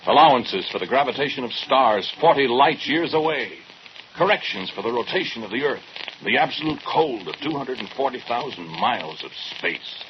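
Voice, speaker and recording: A man's voice: medium pitch, big pitch swings, moderate pace. A man playing a proud, coldly rational scientist in a 1940s radio horror drama, speaking in a pedantic, declamatory way. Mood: exultant. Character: proud, obsessive male scientist, coldly rational, defensive doctor. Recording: noisy, muffled old radio drama recording.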